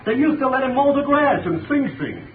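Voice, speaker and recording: A crude, wisecracking mobster from 1930s radio drama. His voice is high and very animated, with slangy phrasing. It sounds tinny and distant, like an old broadcast. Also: fairly quick, a little hiss or hum. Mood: gloating. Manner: stammering, slangy tough-guy talk. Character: crude, dim, wisecracking gangster at a wake.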